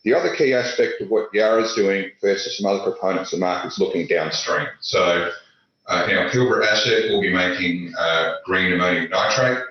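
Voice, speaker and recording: Man, deep voice, panelist, halting with fillers, very echoey room. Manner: halting, many filler sounds and restarts. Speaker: panelist, industry executive. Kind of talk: conference panel remarks. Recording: echoey, dull lo-fi audio.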